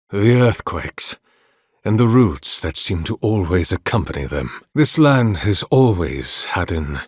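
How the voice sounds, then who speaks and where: An actor playing a solemn, zealous priest in a video game, speaking in grave, measured, formal oratory. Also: male voice, fairly low pitch, slightly rough, no echo, clean studio sound, heavily acted. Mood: measured, somber. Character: solemn, zealous male priest obsessed with redeeming his god. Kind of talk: dramatic scripted game dialogue.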